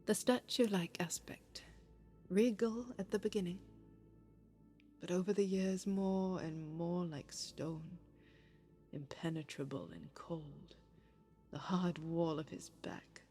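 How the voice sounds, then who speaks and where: A heartbroken lover in an audio drama, really slow and reflective, music underneath. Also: female voice, lowish voice, steady tone, warm tone. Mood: wistful, grieving. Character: grieving heartbroken lover, pensive and lyrical. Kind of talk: poetic audio-drama monologue.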